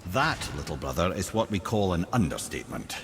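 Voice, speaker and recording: An actor with a Scottish accent playing a wry, talkative old storyteller and advisor in a video game. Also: male voice, deep voice, sing-song, fairly quick, full character voice. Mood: wry, musing. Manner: wry, chatty, colourful turns of phrase. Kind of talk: video game character acting. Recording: clear background noise, game ambience.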